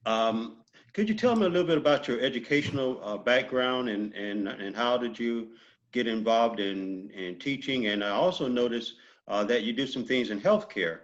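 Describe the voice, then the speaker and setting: Halting male interviewer, dull lo-fi sound. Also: medium pitch. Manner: halting, with many restarts and fillers. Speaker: interview host. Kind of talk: interview questions.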